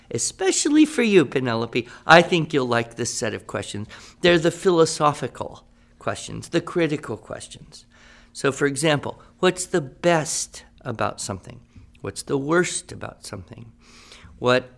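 A man's voice: mid-range voice, sing-song, moderate pace, gravelly, fairly bright tone. A man playing a patient writing teacher in a radio drama, explaining step by step and checking that students follow. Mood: encouraging. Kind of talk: improvised-sounding classroom teaching in a radio drama.

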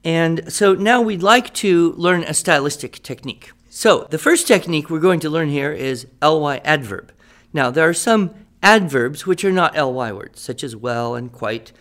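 A man's voice: a little high, expressive, moderate pace, fairly bright tone. A man playing a patient writing teacher in a radio drama, explaining step by step and checking that students follow. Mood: instructive. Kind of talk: improvised-sounding classroom teaching in a radio drama.

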